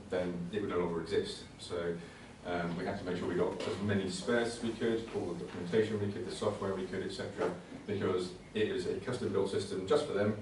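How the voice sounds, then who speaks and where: A man presents technical material informally, in a low voice that stays quite flat. He is hesitant and conversational, doubling back on words as he explains. The recording is heavily echoey, as if from a venue. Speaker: presenter at a computing conservation talk. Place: indoor talk venue. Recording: very echoey room, distant mic, faint noise.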